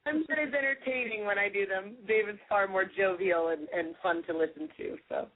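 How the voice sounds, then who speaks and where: A woman's voice: medium pitch, fairly quick. Female singer, radio guest, playful, phone-line sound. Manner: playful, laughs often. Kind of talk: casual interview chat.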